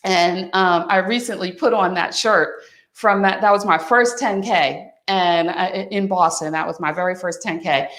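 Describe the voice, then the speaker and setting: A woman in her fifties giving a motivational wellness talk, speaking warmly and directly to the listener as "my friend" and "honey.". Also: lowish voice, fairly even intonation, a little slow. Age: 50s. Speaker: wellness coach. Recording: echoey room.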